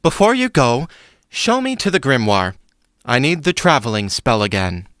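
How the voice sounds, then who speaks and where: A man's voice: mid-range voice, sing-song, normal speed, a bit bright, no echo, clean studio sound. A man playing a long-lost, self-absorbed prince who is indignant and pleading, in a fantasy audio drama. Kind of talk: stage-style fantasy audio drama acting.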